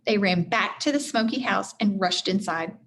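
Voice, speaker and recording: A woman reading a children's chapter book aloud in an expressive teacherly style, speaking directly to her young listeners. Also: mid-range voice, moderate pace. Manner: addresses young listeners directly, acts out dialogue.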